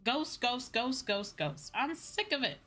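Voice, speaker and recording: An American woman chatting casually to viewers as a livestream host, animated and repeating phrases for emphasis. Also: on the high side, a little slow, faint music behind. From United States.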